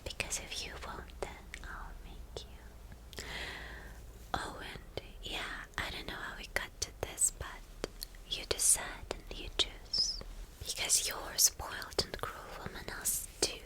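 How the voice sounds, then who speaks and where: A woman's voice: takes it slowly, natural voice, poor audio. A woman acts out a spoiled, cruel villainess girlfriend for an ASMR roleplay. She speaks entirely in a whisper and takes her time over each phrase. Her manner is possessive and coaxing, with teasing endearments that turn domineering. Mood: teasing, commanding.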